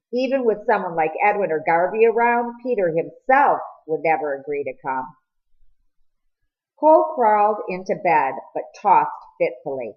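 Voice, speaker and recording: A woman reading a story aloud as scripted audiobook narration, with an expressive delivery. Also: fairly low pitch, dull audio. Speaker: audiobook reader.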